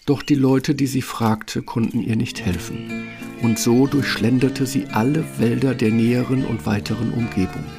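A man's voice: mid-range voice, fairly even intonation, moderate pace, music in the background. A man narrating a fairytale radio play as a scripted storyteller.

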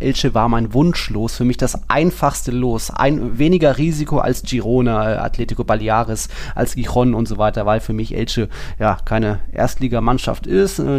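A man with an average-pitched voice and plenty of vocal fry co-hosts a sports podcast. He talks casually and conversationally, in a laid-back manner. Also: darkish tone. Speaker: football podcast co-host.

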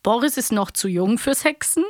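A woman's voice: a little high, lively intonation, normal speed, no room sound. Playful woman podcast host, dry sound. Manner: playful, teasing. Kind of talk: casual chat.

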